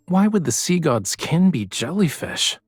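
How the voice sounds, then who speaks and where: Husky, teasing young romantic lead. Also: male voice, a little high, sing-song, takes it slowly, dry recording, soft background music, exaggerated voice. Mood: teasing, amused.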